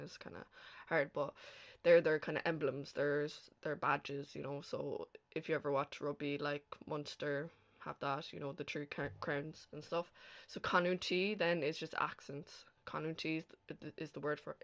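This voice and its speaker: A woman with a Cork Irish accent, teaching informally through a language lesson and explaining things in a chatty way, often checking that the listener follows. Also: sits low. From Cork, Ireland. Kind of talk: informal slide lesson narration.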